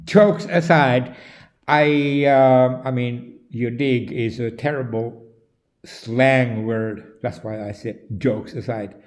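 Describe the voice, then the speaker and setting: Slow, emphatic Finnish-accented man. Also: medium pitch, fairly even intonation, reverberant. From Finland. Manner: slow, deliberate, loud and emphatic. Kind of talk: direct-to-camera monologue.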